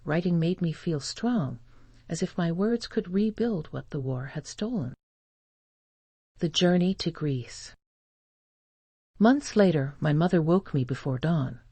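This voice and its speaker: This is a narrator reading a first-person story aloud in a measured, careful way. The tone is dark and mellow, with a husky grain and a frequent creak at the edges of the words. The delivery is that of a scripted reader for learners. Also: mid-range voice, animated, no room sound.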